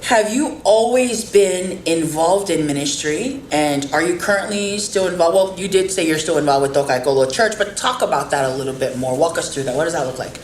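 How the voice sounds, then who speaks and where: Low-voiced woman hosting a faith podcast. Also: animated, a little fast, hardly any pauses. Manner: earnest, encouraging, speaks of faith and family. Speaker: podcast host. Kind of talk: casual interview chat. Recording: roomy with faint background noise.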